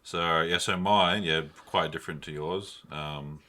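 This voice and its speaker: A man's voice: deep voice, fairly even intonation, measured pace, bright, forceful tone. A man co-hosting a podcast in casual chat, speaking loosely with slang and swearing. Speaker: podcast co-host.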